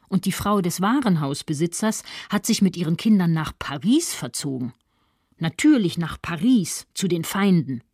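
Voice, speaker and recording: Low-voiced, husky, smug social climber. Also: female voice, darkish tone, no echo, studio quality. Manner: self-important, gloating, gossipy. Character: smug, spiteful doctor's wife, opportunistic 1930s social climber. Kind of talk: radio literary monologue reading.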